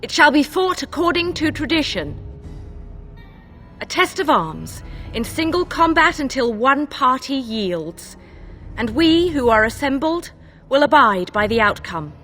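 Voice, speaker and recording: An authoritative noblewoman in a fantasy game, with a high voice. She makes formal, ceremonious declarations over music. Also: sing-song, a little slow, a bit bright, full character voice. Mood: solemn, authoritative. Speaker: voice actor in a fantasy role-playing game. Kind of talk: dramatic fantasy game cutscene acting.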